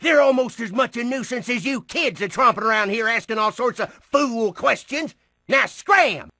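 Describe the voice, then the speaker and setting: An actor playing a cantankerous, suspicious old farmer with a rural American accent and folksy grumbling. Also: male voice, extremely high pitch, expressive, normal speed, bright, forceful tone, heavily acted. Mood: irritated.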